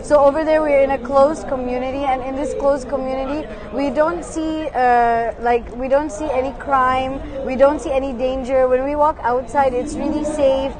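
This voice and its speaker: A woman from Saudi Arabia answering interview questions in a relaxed way, with many fillers like "like" and "you know". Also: sits high, normal speed, hardly any pauses, background noise. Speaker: interview guest. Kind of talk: casual conversation. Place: busy expo hall. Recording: crowd chatter behind.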